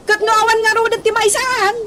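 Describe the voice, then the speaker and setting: A woman's voice: extremely high pitch, flat delivery, brisk, very noisy. A woman playing a fed-up, scolding wife who nags her idle husband in an Ilocano comedy radio drama. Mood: nagging, fed up. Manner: scolding, insistent.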